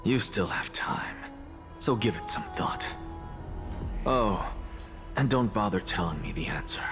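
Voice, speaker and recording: A man playing a haughty, sharp-tongued professor in a video game, lecturing in an imperious, deliberate way. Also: fairly low pitch, lively intonation. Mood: stern, lecturing. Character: haughty, sharp-tongued male professor, stern mentor to a student. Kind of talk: scripted game voice acting. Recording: music behind the voice.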